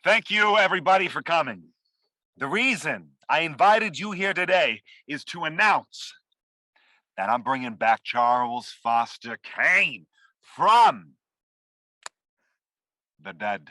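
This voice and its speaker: Bright, wildly expressive washed-up Hollywood director, played by a man. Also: on the high side, slow pace, long pauses, dry recording, heavily acted. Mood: grandiose. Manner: profane, theatrical, needy. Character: washed-up, blustering, desperate male Hollywood movie director. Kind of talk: acted screenplay table read.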